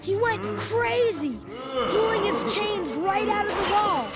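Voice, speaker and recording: A performer playing an excitable young boy who tells his adventure as animated, dramatic read-along storybook narration. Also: very high-pitched, unhurried, exaggerated voice. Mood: excited storytelling. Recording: loud music under the voice. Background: loud dramatic music.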